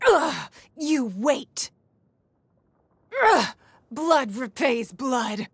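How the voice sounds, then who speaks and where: A woman's voice: sits high, animated, extremely slow, husky, no room sound, heavily acted. An actress playing a poised, shrewd and wealthy woman leader in a video game, with a composed, refined and authoritative manner. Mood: imperious, combative. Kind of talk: video game character voice lines.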